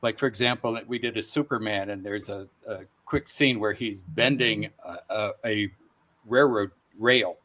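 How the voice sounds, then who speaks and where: A middle-aged man speaking as a guest voice actor, telling stories casually in a relaxed interview setting. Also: lowish voice, normal speed.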